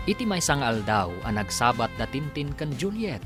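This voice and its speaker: A man's voice: mid-range voice, very animated, normal speed. A man narrating and announcing a radio drama in a dramatic scripted style. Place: radio studio. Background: music.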